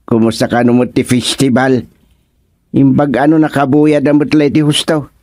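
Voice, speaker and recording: An actor playing an easygoing but grumbling village man in a radio comedy drama, with an Ilocano accent and drawn-out exclamations. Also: mid-range voice, little pitch movement, darkish tone, dry recording, studio quality, lightly acted. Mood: chatty, explaining.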